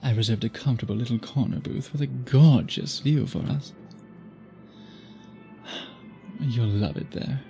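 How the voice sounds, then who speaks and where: A man playing an obsessive, tender vampire suitor in an intimate ASMR roleplay, speaking in formal, gently doting phrases. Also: medium pitch, creaky.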